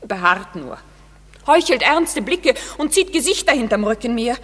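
A plaintive young woman in an old radio play. Her voice is high, and it rings in a reverberant hall. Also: sing-song, normal speed, fairly bright tone. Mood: wounded. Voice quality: plaintive, wounded. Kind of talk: classic radio play acting.

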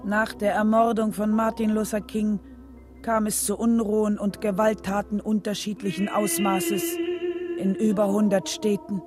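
A woman's voice: mid-range voice, normal speed. Female documentary narrator, radio play. Factual scripted read, mid register, prominent music bed. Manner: factual, sober. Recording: loud music behind the narration.

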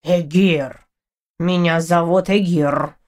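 A big talking wild tomcat acted out by a woman narrator, low for the reader and full of swagger. He grumbles and boasts like a proud fantasy storybook beast. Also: steady tone, slow pace, a little mellow. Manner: grumbling, cocky.

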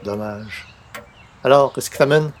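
A man's voice: medium pitch, unhurried, close to an everyday voice. Dry, skeptical Quebec man acting in radio comedy. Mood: wry. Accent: Quebec French. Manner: dry, deadpan. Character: wry, skeptical male newspaper columnist and cat owner.

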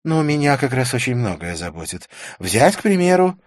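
Give the story a man performing a woman journalist, outspoken and provocative. The voice is lifted in pitch and moves in a lively way, assertive in audiobook style. It sounds dry and close. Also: normal speed, no echo, natural voice. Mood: assertive, teasing. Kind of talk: audiobook character voice.

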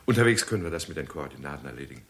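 An actor playing a brisk, courteous leading astronomer in a 1960s German radio drama. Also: male voice, very deep voice, big pitch swings, full character voice. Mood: absorbed, puzzled. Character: Doctor Marlow, brisk leading astronomer at observatory. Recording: 1960s radio recording with background noise.

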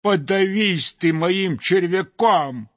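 A man voicing a hot-tempered male sparrow with a family to feed, shouting shrilly and breathless with rage in a storybook reading. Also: high voice, very animated, slow pace, no room sound, muffled recording, voice pushed up, heavily acted. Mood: indignant.

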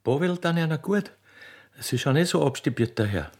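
A man's voice: fairly high pitch, expressive, moderate pace, darkish tone, voice pushed up. A man with an Austrian accent voicing a fat, greedy, flirtatious widow who sighs and gushes. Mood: coaxing. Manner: sighing, gushing.

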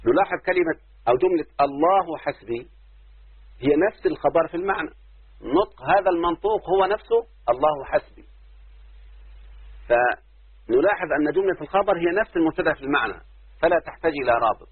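A man with an Egyptian accent, a sheikh teaching Arabic grammar, explaining slowly and asking his listeners questions as he goes. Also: on the high side, lively intonation, breathy edge, no echo. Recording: tinny phone-like line, dry.

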